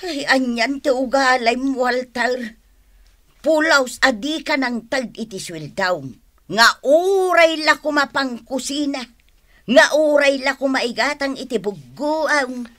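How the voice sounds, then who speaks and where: A woman's voice: sits high, fairly bright tone. A woman with an Ilocano accent playing an exasperated, nagging mother of a grown son in a Philippine radio drama. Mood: exasperated, pleading. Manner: reproachful, nagging, drawn-out emphasis.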